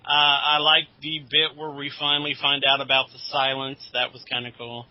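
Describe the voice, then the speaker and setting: A man co-hosting a podcast in casual chat, with a halting, hedging delivery. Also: mid-range voice, monotone, no echo. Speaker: podcast co-host. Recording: dull lo-fi podcast audio.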